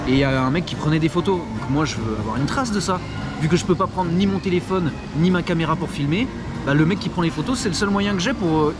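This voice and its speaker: A man, chatting casually and expressively to camera in slangy vlog style. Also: on the high side. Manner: slangy, expressive, animated storytelling. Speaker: vlogger. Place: large glass-roofed indoor park with palms. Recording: music behind the voice. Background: loud background music.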